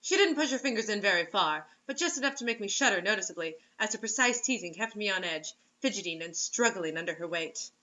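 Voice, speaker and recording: A woman's voice: medium pitch, animated, quick pace, few pauses, sharp tone, dull audio. A woman reading fiction aloud, giggly and often breaking off into incredulous joking asides. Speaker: reader and co-host mocking bad fiction.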